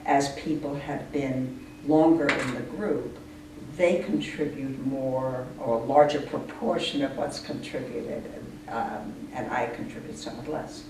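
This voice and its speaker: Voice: medium pitch, fairly even intonation, measured pace. A person explaining things earnestly in an informal interview, as the leader of a poetry reading group. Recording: echoey distant room recording, clear noise.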